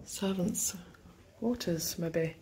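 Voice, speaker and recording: A young woman chatting casually while filming a family tour of an old manor house on her phone, wondering aloud with soft asides. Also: lowish voice, drawn-out words. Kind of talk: casual chat while touring. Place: rooms of a large old manor house, indoors. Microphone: handheld phone camera.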